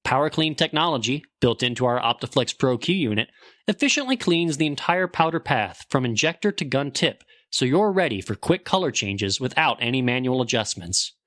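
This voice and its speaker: A man's voice: medium pitch, animated, moderate pace, fairly bright tone, dry recording. Male announcer reading a sponsor spot, dry. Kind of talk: scripted ad read.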